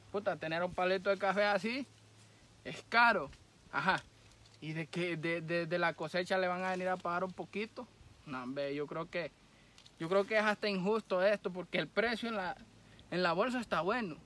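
A man's voice: high voice, bright, forceful tone. A man in his thirties with a Honduran accent, talking informally and complaining in colloquial, sweary speech as he addresses fellow farmers outdoors. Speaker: coffee farmer and vlogger. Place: outdoors on a coffee farm.

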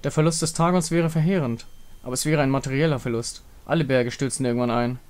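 A man voicing a solemn, fatalistic warrior woman of the moon in a game story, grave, formal and measured. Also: mid-range voice, steady tone, a little mellow, smooth, lightly acted. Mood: ominous. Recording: music behind the voice.

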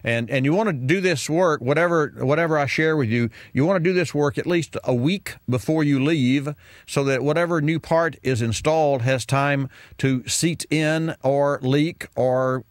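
A man's voice: mid-range voice, normal speed, no room sound, clean studio sound. A man in his fifties hosting a call-in car advice radio show, talking casually and rambling with plenty of fillers and restarts. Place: radio studio with colorful sign backdrop. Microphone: studio mic on boom arm, wearing headphones.

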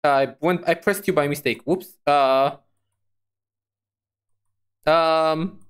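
A woman's voice: deep voice, slow, clear, resonant tone. A woman reacting to a video as a VTuber, musing aloud in a casual, hesitant, repetitive way.

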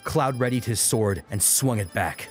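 A man's voice: fairly low pitch, unhurried, faint music behind. A man narrating an action story as an audiobook, in a dramatic scripted style.

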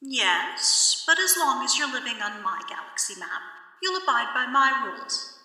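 A firm celestial mom, voiced by a woman scolds in a parental way. Her voice is sharp and rather high, heard from far off in a long echo, in a comic radio play. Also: very animated, moderate pace, heavily acted. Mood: firm, patient. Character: nagging cosmic mother of a young god. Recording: distant, heavily echoing hall effect.

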